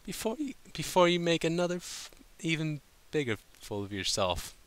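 A man voicing a prim, fastidious female unicorn pony in a cartoon fan story, speaking firmly and properly. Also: a little high, big pitch swings, fairly bright tone, pitched above the performer's own voice. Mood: curt, embarrassed.

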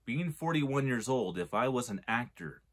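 A man's voice: medium pitch, animated, moderate pace, fairly bright tone. A man in his forties giving a casual, rambling film review, often restarting his sentences as he argues a point. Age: 40s. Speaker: movie reviewer.

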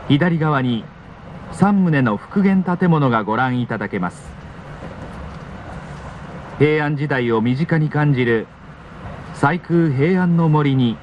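Male train announcer, formal and polite, lively intonation, scripted delivery, clear train noise behind. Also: fairly high pitch, dull audio. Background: train running noise.

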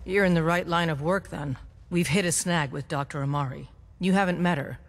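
A brisk, businesslike resistance leader briefing her agents. Her pitch is mid-range and her speech is plainly matter-of-fact. A long reverb trails her lines, as in a video game. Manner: businesslike, giving orders.

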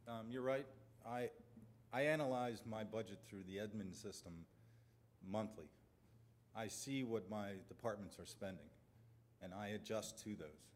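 Male department manager, fairly low pitch, factual and plain meeting presentation. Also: measured pace. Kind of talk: explanation at a public meeting. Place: council chamber, standing at lectern.

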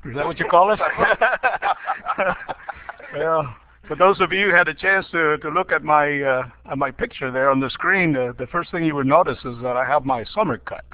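A man's voice: fairly high pitch, normal speed, no room sound. An older man from Cuba, a dean of education, chatting casually on a webinar with lots of fillers and restarts. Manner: hesitant, many fillers and restarts, chuckles at his own jokes. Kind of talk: casual spoken overview on a webinar. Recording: dull lo-fi online audio.

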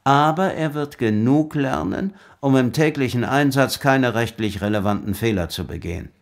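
An actor playing a firm, formal police chief in a German audio drama, brusque and authoritative. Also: male voice, medium pitch, moderate pace, lightly acted. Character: gruff authoritative male police chief, secretly fair.

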